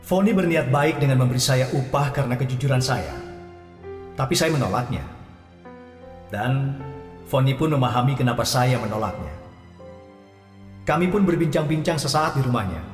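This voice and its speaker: A man's voice: medium pitch, expressive, moderate pace, pauses often. Man, scripted first-person narration, wry and chatty, echoey distant sound. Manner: chatty, addresses listeners directly, wry. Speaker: narrator telling his own story as a taxi driver. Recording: roomy, echoey, distant mic with music.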